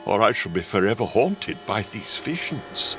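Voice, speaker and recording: Pleading old miser, swooping dramatic voice. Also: male voice, on the low side, big pitch swings, normal speed, noisy background. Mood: fearful pleading. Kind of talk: theatrical radio-play acting. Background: music.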